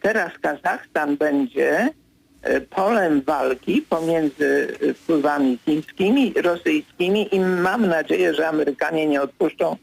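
A man's voice: on the high side, animated, measured pace, vocal fry, low-quality recording. An older man giving political analysis as a radio guest, speaking insistently and stressing his key points. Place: radio studio.

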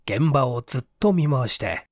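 A man's voice: medium pitch, animated, moderate pace, no echo, clean studio sound. A man reading a mystery story as dramatic, suspenseful, theatrical audiobook narration. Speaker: audiobook reader.

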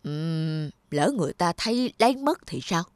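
A woman voicing a greedy, stingy old male landlord in a cartoon, gruff and bossy. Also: low-pitched, expressive, normal speed, dry recording. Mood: scolding. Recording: studio voice with loud music.

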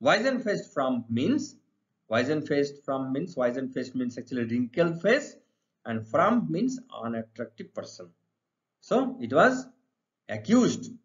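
A man's voice: mid-range voice, sing-song, unhurried. A middle-aged man with an Indian accent teaching an exam lesson, reading out questions and explaining the answers emphatically with repeated phrases. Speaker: English teacher. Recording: dull lo-fi recording.

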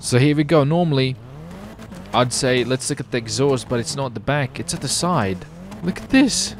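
A man commentating his roleplay gameplay, excitable and hyped, his voice jumping when something surprises him. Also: mid-range voice, normal speed, background noise. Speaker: gameplay streamer.

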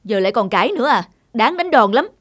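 A woman's voice: on the high side, sing-song, fast. A woman voicing an impatient, hot-tempered young mother who scolds, in a cartoon dub. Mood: angry. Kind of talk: cartoon dubbing.

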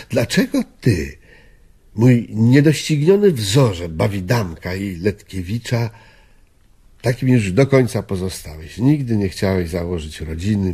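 Radio drama: melancholy, principled old man, reflective and gentle, low husky voice, unhurried delivery. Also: heavily acted. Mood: pensive, probing. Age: older. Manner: hesitant, pensive, probing.

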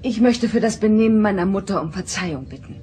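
An actress playing a composed, dutiful young alien daughter in a sci-fi audio drama, earnest and controlled. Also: female voice, medium pitch, lively intonation, fast talker, warm tone, slight background noise, natural voice. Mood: gentle.

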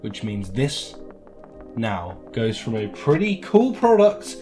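A man's voice: mid-range voice, very animated, slow pace, warm tone, soft background music. A man in his thirties chatting casually to camera as he reviews products, in a joking, rambling, mock-dramatic way. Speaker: vlogger reviewing novelty products. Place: small room at home, indoors.

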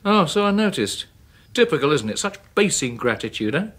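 A British radio drama husband, sneering and resentful. His voice sits fairly high for a man and swings widely in pitch, with a husky edge. He blusters sarcastically and repeats himself. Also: big pitch swings, moderate pace, a bit bright. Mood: mocking, sly.

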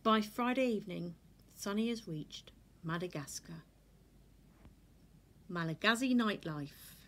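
Slow-paced woman reading a picture book. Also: mid-range voice, animated, a little hiss or hum. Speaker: storybook reader. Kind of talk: children's picture book read-aloud. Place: indoors in a furnished room at home.

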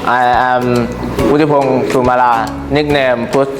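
Slow, flat young Thai-accented man. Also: mid-range voice. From Thailand. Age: 20s. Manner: halting, repeats words. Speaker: student. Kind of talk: classroom self-introduction. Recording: echoey room with loud music.

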